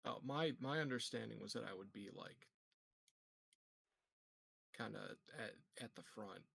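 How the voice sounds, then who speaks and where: Gravelly, husky man playing a tabletop game. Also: fairly low pitch, a little slow, bright, forceful tone, no echo. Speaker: player in a tabletop roleplaying game. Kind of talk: casual game chat.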